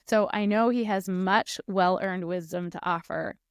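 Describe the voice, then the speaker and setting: A woman's voice: medium pitch, steady tone, unhurried, clear, resonant tone, no room sound, clean studio sound. A woman hosting a podcast, reading the introduction and asking the guest questions in an upbeat, enthusiastic way. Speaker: podcast host.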